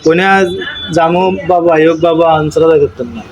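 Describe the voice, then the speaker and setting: Fast-talking man. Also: fairly high pitch, fairly even intonation, lo-fi sound, a crowd in the background. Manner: teasing, repeats phrases. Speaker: vlogger on a family video call. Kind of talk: casual family chat. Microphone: phone video call.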